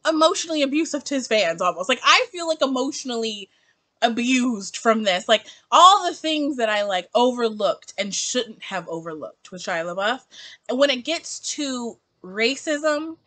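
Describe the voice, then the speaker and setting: Twenty-something woman vlogging her opinions, bright voice that jumps all over, says like a lot. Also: on the high side, moderate pace. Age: 20s. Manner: animated, emphatic, heavy use of "like". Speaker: book vlogger. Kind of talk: casual opinion vlog.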